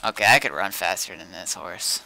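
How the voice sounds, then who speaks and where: A man's voice: deep voice, a little fast, creaky, bright, forceful tone. A man chatting casually while streaming a game, thinking aloud in a laid-back way. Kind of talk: casual gaming chat.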